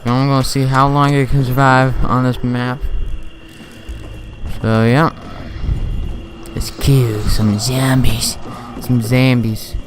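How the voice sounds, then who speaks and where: A man's voice: mid-range voice, flat delivery, slow, long pauses, slight background noise. A young man in his teens rambling chattily while playing a video game at home at night, with jokey asides. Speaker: gaming video host. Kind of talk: casual gameplay commentary. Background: game sounds and music.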